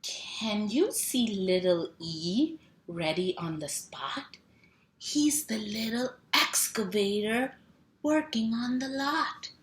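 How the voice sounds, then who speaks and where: A woman reading a picture book aloud to young children in a sing-song, animated way, stretching sound words and asking simple questions. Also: fairly high pitch. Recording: roomy echo, clear background noise.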